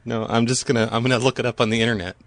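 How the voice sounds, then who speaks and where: A man's voice: medium pitch, level tone, racing, no echo. Playful mock-earnest man on a prank call. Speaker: prank caller.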